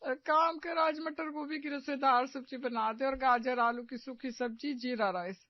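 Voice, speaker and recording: A woman's voice: sits high, steady tone, measured pace, no room sound, dull audio. A woman playing a bossy, theatrical middle-aged mother-in-law in a cartoon story, nagging with sarcasm and melodrama. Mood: demanding. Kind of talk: animated moral-story cartoon acting.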